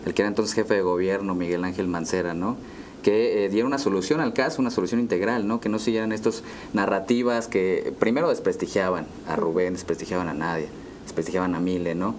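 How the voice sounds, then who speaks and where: Deep-voiced Mexican male interviewer. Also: fast talker, a little mellow. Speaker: interviewer, co-host. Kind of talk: conversational interviewing. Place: dark TV studio, round table. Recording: clear background room noise.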